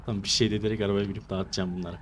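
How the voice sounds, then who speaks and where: A man's voice: on the low side, level tone, racing, noisy background. A man chatting casually in role-play as he streams an online game.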